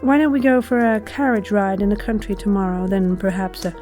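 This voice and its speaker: A woman playing a genteel, affectionate and quietly macabre wife in a horror audio drama, refined and coy. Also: mid-range voice, brisk, faint music behind, lightly acted. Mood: sweetly scheming.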